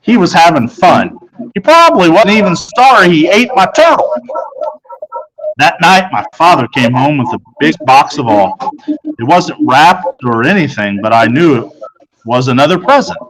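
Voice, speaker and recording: A man's voice: a little high, very animated, normal speed, crowd noise, rough recording. A man reading a first-person children's story aloud as its narrator. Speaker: storybook reader.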